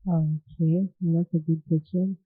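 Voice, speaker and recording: A young woman from England and Rwanda, a podcast guest chatting in a relaxed way, laughing often and dropping many filler yeahs. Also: low voice, unhurried, slightly rough. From born in England, raised in Rwanda. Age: young adult. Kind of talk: casual interview chat. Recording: tinny phone-like line.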